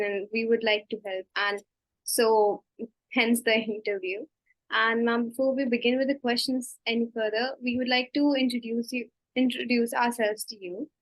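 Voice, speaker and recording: A young woman with an Indian English accent hosts the interview with polite, deferential phrasing, tripping over a word now and then. Her voice is fairly high and sounds close and dry. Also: no room sound, low-quality recording. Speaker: interviewer, host.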